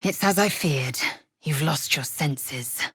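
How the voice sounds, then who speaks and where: A fierce githyanki warrior woman from a fantasy video game, with a low, husky voice. She speaks in a proud, imperious way, clipping her formal phrases and measuring them out slowly. The sound is close and dry. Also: no echo, heavily acted. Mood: stern, scornful. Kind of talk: video game character acting.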